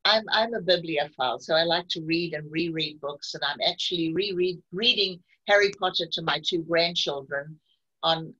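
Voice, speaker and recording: Host: woman in her sixties, bright and husky, chatty, on dull lo-fi video-call audio. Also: deep voice, normal speed. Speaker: interviewer host. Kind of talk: online interview.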